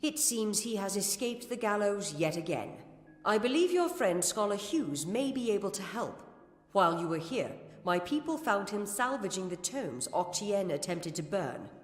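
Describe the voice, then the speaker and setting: A woman playing a stern, formal gnome Templar commander in a fantasy game, speaking with measured authority. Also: mid-range voice, big pitch swings, lightly acted. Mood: stern, businesslike. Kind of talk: scripted fantasy game dialogue. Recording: echoey reverberant hall.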